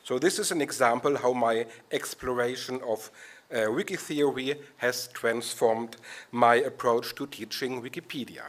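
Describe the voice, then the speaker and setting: A man's voice: mid-range voice, unhurried. A man giving a wry, storytelling lightning talk from a podium in a conference hall. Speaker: conference speaker. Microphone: podium microphone.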